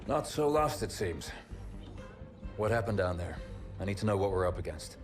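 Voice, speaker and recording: An actor playing a steady, commanding starship captain with a stern manner in a video game cutscene. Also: male voice, on the low side, normal speed. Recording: game clip with music behind.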